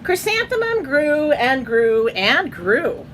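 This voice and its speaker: A woman's voice: high-pitched, very animated, takes it slowly, a bit bright, a little hiss or hum, rough recording. A woman reading a children's picture book aloud at home, in a sing-song storybook way, chatting to her pet birds between pages.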